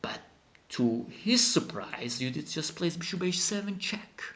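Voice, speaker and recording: A man who is a non-native English speaker gives animated, emphatic chess commentary as a teacher, dramatizing the game. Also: a little high, measured pace. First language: not English. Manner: dramatic, emphatic, acts out the players' thoughts. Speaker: chess teacher and channel host.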